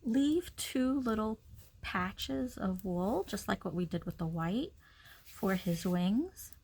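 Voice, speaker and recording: A woman's voice: mid-range voice, lively intonation, slow, gravelly, a little mellow, husky. A woman giving a casual step-by-step craft demonstration, informally talking through each action as she works. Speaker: craft tutorial instructor.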